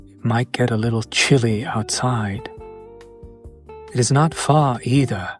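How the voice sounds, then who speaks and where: Man playing a slow-spoken, courtly romantic vampire. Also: medium pitch, a little mellow, natural voice. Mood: tender, flirtatious. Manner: formal, archaic wording, measured and flirtatious. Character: courtly, charming old-world male vampire, tender and wistful. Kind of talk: intimate romantic audio roleplay acting.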